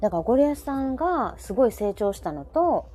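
A woman host speaks with a Kansai accent in an easy, conversational style. Her mellow voice swings freely up and down as she reacts and chimes in expressively. Faint music plays underneath. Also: on the high side, brisk. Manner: expressive, reacting with frequent backchannels. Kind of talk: casual chat.

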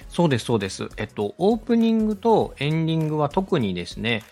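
Lively male podcast host explaining step by step. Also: fairly high pitch, fast talker, soft background music. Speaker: host, radio director teaching podcast production. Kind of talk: instructional podcast talk with a co-host.

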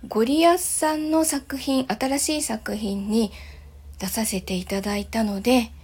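A woman chatting casually and politely in a soft, breathy voice, with gentle laughs, as the host of a morning audio show. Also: fairly high pitch, a little slow. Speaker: voice actor and audio channel host.

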